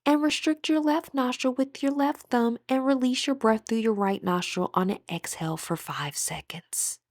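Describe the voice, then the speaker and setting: Female meditation guide, fairly high voice, gentle breathy delivery with measured pauses, dry recording. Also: no echo. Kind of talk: guided meditation, scripted narration.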